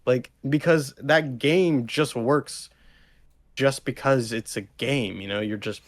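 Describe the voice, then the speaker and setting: A man co-hosting a podcast, arguing casually and haltingly, often checking that the listener follows. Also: mid-range voice, no room sound. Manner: halting, repetitive, seeking agreement with 'you know'. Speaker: podcast co-host. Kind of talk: casual conversational debate.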